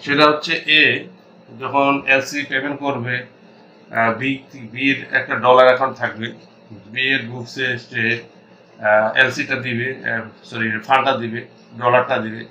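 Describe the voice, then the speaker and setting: A man with a Bangladeshi accent explaining as an accounting instructor in an informal tutorial, haltingly thinking aloud and mixing in English terms. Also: medium pitch, little pitch movement, bright, forceful tone, lo-fi sound.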